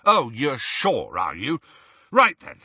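Man doing an angry bitter teen boy wizard, shouty, sarcastic, bright and a bit husky. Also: mid-range voice, wildly swooping pitch, slow, no room sound, muffled recording, exaggerated voice.

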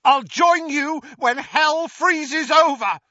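A man voicing a brave teenage boy who speaks loudly and defiantly. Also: extremely high pitch, slow pace, no room sound, lo-fi sound, pitched above the performer's own voice, full character voice. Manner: loud, defiant.

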